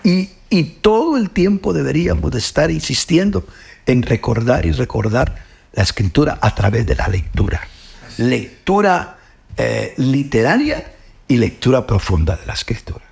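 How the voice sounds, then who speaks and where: A man's voice: mid-range voice, big pitch swings, normal speed, gravelly, darkish tone. A middle-aged man with a Guatemalan Spanish accent, speaking earnestly about faith, often repeating words and ending with "pues, ¿verdad?". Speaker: host. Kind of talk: reflective faith talk.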